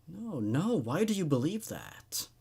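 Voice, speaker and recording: A man's voice: on the high side, sing-song, measured pace, creaky, warm tone, husky, voice pushed up, full character voice. A man voicing a curious, probing male psychiatrist in a horror story, with an inquisitive manner.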